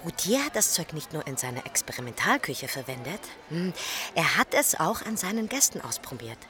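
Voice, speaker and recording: An actress playing a composed, sharp-witted woman investigator in a radio crime drama, conversational and matter-of-fact. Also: low voice, very animated, a little fast, full character voice. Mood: serious, explanatory. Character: composed, sharp-witted female colleague investigating restaurant fires.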